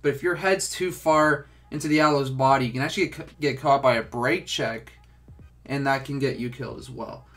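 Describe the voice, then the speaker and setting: A man's voice: mid-range voice, a little fast, fairly bright tone, faint music behind. A young man with a stuffed-up, congested voice, casually talking through a game tutorial with lots of filler words. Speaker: gaming tutorial host.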